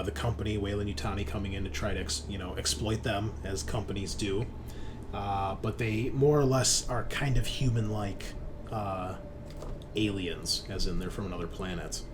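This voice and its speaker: A man's voice: on the low side, fairly even intonation, normal speed. A man narrating a tabletop roleplaying game as game master, explaining the setting in a conversational way. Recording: clearly noisy background.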